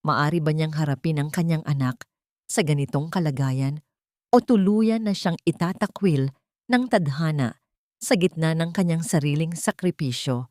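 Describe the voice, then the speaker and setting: A woman narrating a drama story in a slow, somber, suspenseful scripted manner. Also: low-pitched, a little mellow, dry recording, clean studio sound.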